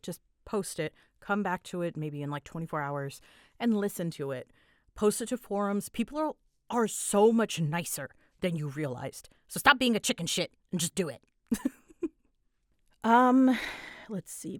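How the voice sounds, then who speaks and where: Young woman, lowish voice, close and dry. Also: no echo. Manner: self-deprecating, giggly, informal. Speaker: voice actor and channel creator. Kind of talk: casual solo Q&A chat to listeners.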